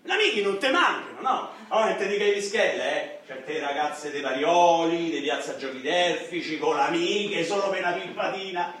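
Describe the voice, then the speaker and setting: In a very echoey hall, a middle-aged Roman hustler tells his life as a stage monologue for radio. His voice is high and bright, leaping around as he boasts and pities himself, a lonely small-time ex-robber with street swagger. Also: moderate pace, hardly any pauses. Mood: cocky. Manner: animated streetwise storytelling, swinging from bragging to self-pity. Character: boastful, lonely ex-robber nearing fifty, coke-loving braggart. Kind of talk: theatrical radio drama monologue. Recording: echoey stage hall, distant mic, faint noise.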